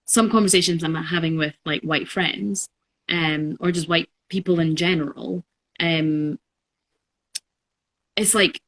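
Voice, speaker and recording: Low-voiced young woman, reflective talk. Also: steady tone, measured pace, long pauses, a bit bright. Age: 20s. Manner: thoughtful, reflective, many fillers. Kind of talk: casual conversation.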